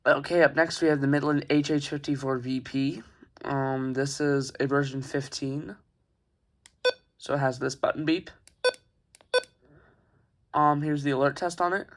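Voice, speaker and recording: A young man giving a casual, halting, informal product showcase as a hobbyist reviewer. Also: mid-range voice, flat delivery. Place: carpeted room at home.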